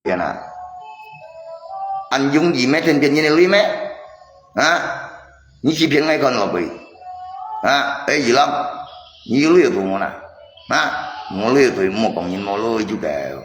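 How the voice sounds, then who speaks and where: A man's voice: medium pitch, big pitch swings, slow, pauses often, fairly bright tone. A man chatting casually on a livestream, in an agitated, argumentative way, often repeating himself. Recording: echoey reverberant space, music behind.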